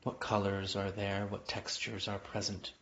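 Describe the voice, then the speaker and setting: Male meditation guide, lower-pitched voice, gentle invitational delivery with lingering pauses, slightly dull lo-fi sound. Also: monotone, slight background noise. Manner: gentle, unhurried phrasing with long pauses, invitational. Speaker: yoga nidra guide. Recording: dull, muffled lo-fi audio.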